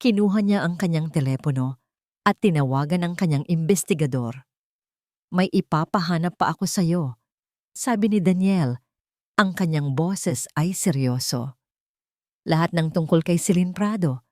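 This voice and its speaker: A woman reading a scripted romantic drama as a storyteller, with a measured, dramatic delivery. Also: low voice, dark tone, no room sound, clean studio sound. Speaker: story narrator.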